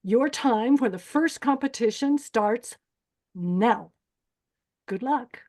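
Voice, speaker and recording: A woman's voice: a little high, expressive, slow, a little mellow. A woman playing a stern, callous older beauty queen who hosts a pageant in a screenplay table read, commanding and cold in manner. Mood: stern, menacing. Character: stern, callous 64-year-old female pageant host, former beauty queen.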